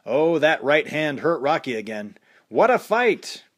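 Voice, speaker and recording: A man voicing an excited male fight announcer calling a boxing match play by play, raised high and loud above his own voice. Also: unhurried. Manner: breathless play-by-play.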